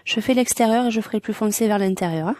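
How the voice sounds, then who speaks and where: A woman's voice: medium pitch, quick pace, darkish tone, no echo, studio quality. A woman with a head cold hosting an informal hands-on colouring tutorial, murmuring hesitant, short step-by-step remarks as she works. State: congested with a cold.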